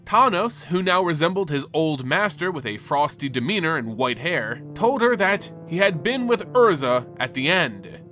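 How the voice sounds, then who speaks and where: A male narrator delivers a solemn fantasy tale with theatrical weight. His voice sits fairly high for a man and moves with lively, expressive pitch, with music playing behind him. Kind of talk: dramatic reading of fantasy lore.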